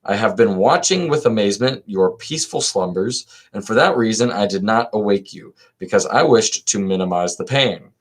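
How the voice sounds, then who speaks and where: A man's voice: fairly low pitch, expressive, moderate pace, few pauses. A man reading the part of an anxious, devoted old Athenian friend in a philosophical dialogue, earnest and formal.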